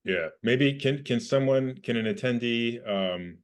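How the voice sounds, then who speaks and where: A man's voice: mid-range voice, measured pace, clean tone. A man co-hosting a webinar on a video call, hesitant and unsure about the technology. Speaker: co-host. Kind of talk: casual chat.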